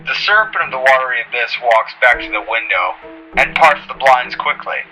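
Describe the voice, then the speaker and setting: Male narrator, scripted story reading, very sharp bright tone, faint music behind. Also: medium pitch, animated, moderate pace, rough recording.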